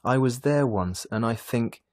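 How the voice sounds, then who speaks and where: A man reading a storybook, voicing a gentle, reluctant older doctor who talks to his daughter with mild hesitation. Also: medium pitch, measured pace, pitched above the performer's own voice, close to an everyday voice. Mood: hesitant.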